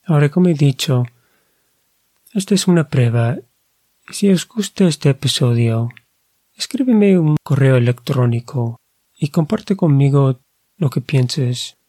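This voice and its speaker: Slow, earnest man speaking careful Spanish. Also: medium pitch, lively intonation, mellow, dry recording. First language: English. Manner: careful, earnest, slightly hesitant non-native Spanish. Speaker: podcast host. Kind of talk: slow reflective podcast monologue.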